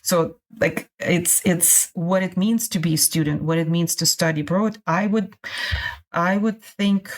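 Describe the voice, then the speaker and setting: A middle-aged woman from Russia, a guest on a podcast interview, talking in halting phrases with many restarts. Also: lowish voice, steady tone. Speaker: guest, immigration consultant.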